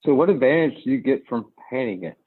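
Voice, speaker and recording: A man's voice: mid-range voice, lively intonation, fast, husky. A man speaking as a commission member, questioning bluntly and informally during a meeting. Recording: tinny, phone-like muddy call.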